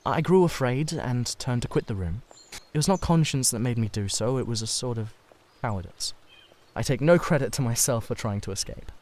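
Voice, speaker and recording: A man acts out a Victorian gentleman artist from a classic novel. He speaks at a middle pitch with intense, confessional earnestness, hesitating as he confides. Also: expressive, brisk, lightly acted. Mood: troubled, confiding.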